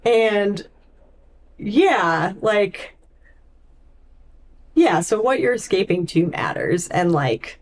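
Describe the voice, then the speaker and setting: A woman's voice: medium pitch, big pitch swings, drawn-out words, long pauses. A woman co-hosting a podcast, discussing books informally and thinking aloud as she goes. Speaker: podcast co-host. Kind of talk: casual book discussion.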